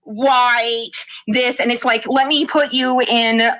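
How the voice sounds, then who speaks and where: A woman's voice: fairly high pitch, fairly even intonation, takes it slowly, fairly bright tone, poor audio. A woman over forty arguing bluntly in a heated online debate. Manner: blunt and argumentative. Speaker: debate participant.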